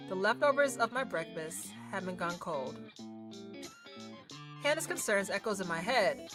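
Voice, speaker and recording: A woman streaming a game, chatting and reacting in a casual, slangy, teasing way. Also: medium pitch. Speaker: streamer playing a visual novel.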